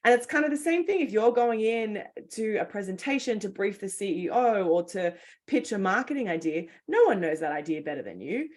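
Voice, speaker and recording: A woman's voice: medium pitch, sing-song, fairly quick, darkish tone. A woman hosting a webinar, native English speaker, talking in a casual, chatty way with frequent fillers. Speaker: webinar host.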